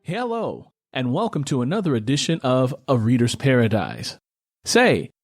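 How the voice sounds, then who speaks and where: A man's voice: mid-range voice, darkish tone, no room sound, studio quality. A man hosting a podcast and interviewing a guest, who also works as a book narrator. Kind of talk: scripted show intro and interview.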